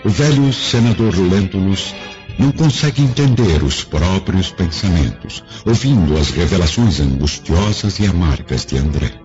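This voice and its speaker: Male radio drama narrator, Brazilian, very low-pitched with sweeping intonation, scripted and dramatic. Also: lively intonation, normal speed. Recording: dull lo-fi radio drama, faint music.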